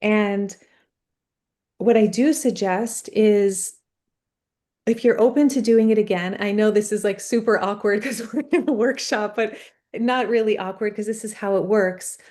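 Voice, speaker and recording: A woman's voice: medium pitch, unhurried, dark tone. A woman coach hosting a live online workshop on a video call, speaking earnestly and encouraging her guest.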